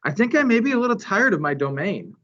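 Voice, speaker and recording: A man's voice: sits high, sing-song, quick pace, fairly bright tone, pitched above the performer's own voice, heavily acted. A man voicing a weary, reflective male gorilla in a storybook. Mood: weary, concerned. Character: weary, pensive big male silverback gorilla. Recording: dull, lo-fi recording.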